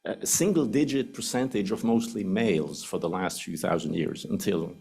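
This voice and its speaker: A man's voice: sits low, moderate pace, gravelly, a little mellow, husky. A middle-aged man with a Swiss accent, English not his first language, giving a public lecture in a joking, explanatory way. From Switzerland.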